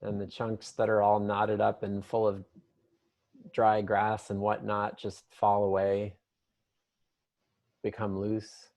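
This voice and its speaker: Low-voiced man guiding a meditation. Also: completely flat delivery. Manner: gentle, unhurried, meandering imagery with long pauses. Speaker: meditation guide. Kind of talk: guided meditation, improvised and conversational.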